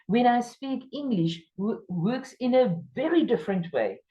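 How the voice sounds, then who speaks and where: A woman's voice: animated, unhurried, mellow, dull audio. Afrikaans-accented woman giving an emphatic lecture. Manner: emphatic, stresses key words with jumps in pitch. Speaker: teacher trainer. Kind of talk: workshop lecture.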